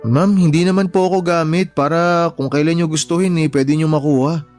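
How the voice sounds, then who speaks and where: Guy doing a young shop helper in radio drama, really high voice, nervous n respectful, pleading, music under it. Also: steady tone, a little fast, darkish tone, natural voice. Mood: uneasy refusal. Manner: polite, distressed pleading. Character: humble young male shop worker, anxious, respectful, loyal. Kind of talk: Tagalog radio drama acting.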